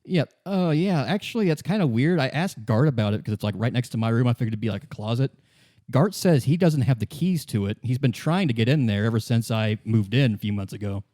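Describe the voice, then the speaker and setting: Man voicing a cynical woman witness, mellow, dry close sound, deadpan, evasive, tabletop roleplay. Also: mid-range voice, fairly quick, no room sound, natural voice. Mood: casual, curious. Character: world-weary smoking woman witness, casual and cynical. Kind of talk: improvised in-character tabletop roleplay dialogue.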